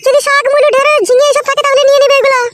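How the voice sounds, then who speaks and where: Squeaky-high bossy Bengali cartoon wife. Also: female voice, level tone, very fast. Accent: rural West Bengal. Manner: scolding, bossy, coarse-tongued. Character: fierce, bossy young village wife, threatening her husband. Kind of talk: comedy cartoon dialogue. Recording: loud noise behind the voice.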